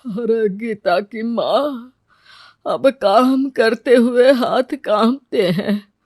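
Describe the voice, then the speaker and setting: Brief: woman voicing worn-out elderly father, cartoon drama, high for the role, slow and plaintive, dry sound. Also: darkish tone, no room sound. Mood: tearful, worried. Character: weary, worried elderly father of poor family. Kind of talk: cartoon moral story acting.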